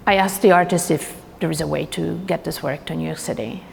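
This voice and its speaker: A woman's voice: low-pitched, fairly even intonation, a little fast, creaky. A woman speaking as an exhibition curator in a documentary interview, recorded in a reverberant room. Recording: reverberant hall, faint music behind.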